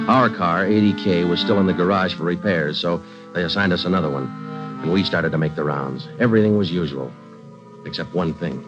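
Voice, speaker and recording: A man playing a deep, deadpan police sergeant. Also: close to an everyday voice. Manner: clipped, matter-of-fact narration. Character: terse, deadpan adult male police sergeant. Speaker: police detective sergeant and narrator. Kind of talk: 1940s radio drama acting. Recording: dull 1940s radio broadcast with music.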